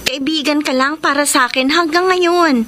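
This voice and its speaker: A woman's voice: high-pitched, moderate pace, noisy background, lightly acted. An actress playing a young woman firmly telling a suitor he is only a friend in a radio drama. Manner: firm, matter-of-fact. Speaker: Lea.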